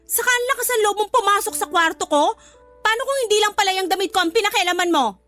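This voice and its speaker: Shrill, furious, scolding older sister. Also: female voice, fast, bright, forceful tone, soft background music. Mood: indignant, scolding. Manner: scolding, combative, sarcastic. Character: hot-tempered, resentful, sharp-tongued older sister. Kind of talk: Tagalog radio drama acting.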